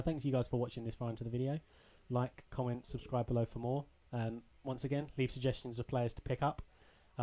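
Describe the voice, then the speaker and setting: A young man with a slightly croaky voice, casually commentating his own football video game match, rambling with ums and restarts. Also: medium pitch, a little mellow. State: a bit croaky. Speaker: gaming channel host.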